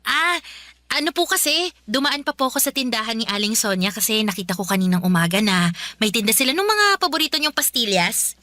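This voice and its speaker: A woman's voice: fairly high pitch, sing-song, fairly quick, pushed and bright, no room sound. A woman playing a dutiful, apologetic teenage Filipino schoolgirl in a radio drama, speaking politely and hesitantly. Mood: eager, nervous.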